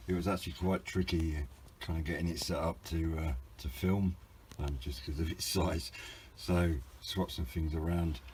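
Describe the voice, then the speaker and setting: British male hobby reviewer, low-pitched, hesitant and understated, some noise in the recording. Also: steady tone, moderate pace, few pauses, a little mellow. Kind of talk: informal unboxing and review narration.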